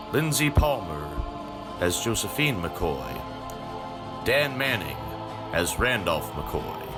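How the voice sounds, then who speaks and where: A man announces radio drama credits from a script. His voice is fairly low and slow, with animated intonation, as music plays prominently underneath.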